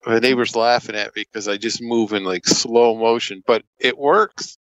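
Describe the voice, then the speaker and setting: A man's voice: mid-range voice, fairly even intonation, moderate pace, fairly bright tone, no room sound. A man tells casual stories in a folksy, colloquial way. The recording is very dry and a little dull, with no sense of room. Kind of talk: casual anecdote telling. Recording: dry, slightly dull audio.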